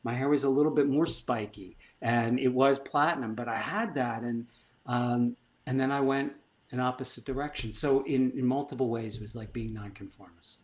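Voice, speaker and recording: A middle-aged man, a performance coach, telling stories in an animated, emphatic way and acting out bits of dialogue. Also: mid-range voice, moderate pace. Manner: animated, emphatic storyteller who acts out dialogue. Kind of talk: conversational storytelling.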